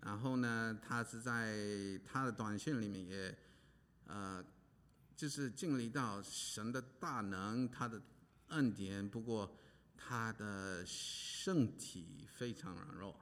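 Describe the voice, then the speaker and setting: A man from Hawaii speaking as a pastor, halting with many pauses and fillers while an interpreter follows him. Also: fairly low pitch, fairly bright tone. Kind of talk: preaching with interpreter. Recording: long hall reverb.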